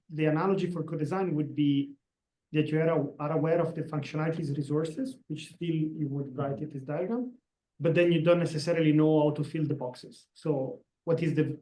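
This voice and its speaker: Halting man in an echoey classroom. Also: on the high side, mellow. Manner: halting, searching for words. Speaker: course instructor. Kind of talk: classroom discussion. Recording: echoey room.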